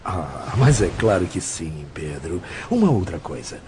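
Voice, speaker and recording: A Brazilian actor playing a venerable, compassionate spirit mentor in an audio drama, speaking solemnly, with deliberate eloquence. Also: male voice, deep voice, sing-song, warm tone, slight background noise. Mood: kindly. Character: Brother Félix, grave, compassionate, venerable male spirit mentor. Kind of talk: scripted audio drama monologue.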